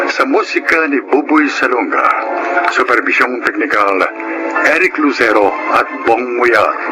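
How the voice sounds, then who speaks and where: A man's voice: mid-range voice, low-quality recording. A man announcing scripted credits and story introductions for a radio drama. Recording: music behind the voice.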